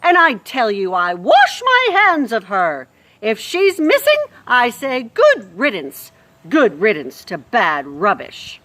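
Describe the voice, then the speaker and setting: A woman's voice: extremely high pitch, very animated, takes it slowly, hardly any pauses, bright, forceful tone, voice pushed up, exaggerated voice. A woman doing a scornful, scolding housekeeper. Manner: scolding, declaring. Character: tall, sharp-tongued housekeeper woman, dismissive.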